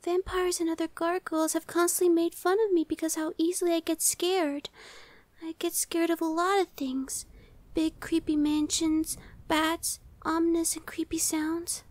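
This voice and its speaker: A woman voicing a timid, anxious young female gargoyle in an ASMR roleplay, soft, girlish, hesitant and stammering. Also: extremely high pitch, flat delivery, normal speed. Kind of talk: ASMR audio roleplay acting.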